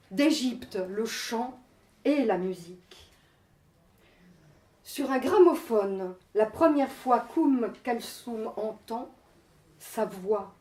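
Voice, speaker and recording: A woman's voice: on the high side, warm tone. A woman reciting poetry in a declamatory, incantatory manner, in short separated phrases, as a poet performing her poem. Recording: roomy, echoing live space.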